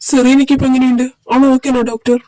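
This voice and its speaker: A woman's voice: sits high, little pitch movement, racing, dark tone, no room sound, pitched above the performer's own voice, lightly acted, low-quality recording. A woman voicing a distraught young man in an audio drama, tearful and protective. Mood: anxious. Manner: tearful and vengeful. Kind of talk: amateur audio drama voice acting.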